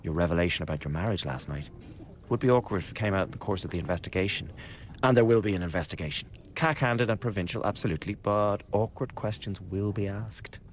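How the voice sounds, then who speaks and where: Man acting a glib, low-voiced scheming conspirator. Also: quick pace, a little hiss or hum, close to an everyday voice. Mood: conspiratorial, coaxing. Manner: chatty, insinuating, blackly flippant. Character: glib, scheming young male revolutionary conspirator. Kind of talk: radio drama acting. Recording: studio radio drama with background ambience.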